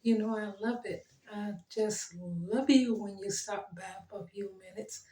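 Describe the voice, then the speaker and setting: A middle-aged woman talking earnestly to her viewers, her voice worn and fading, pausing often. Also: mid-range voice, reverberant. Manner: earnest, gentle, pauses with ums and soft laughs. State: losing her voice. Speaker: channel host. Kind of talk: heartfelt motivational talk to camera.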